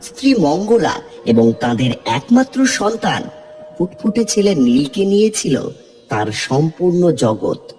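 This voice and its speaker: A man's voice: on the high side, big pitch swings, a little slow, a little mellow. A man giving a scripted, dramatic narration of a story. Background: music.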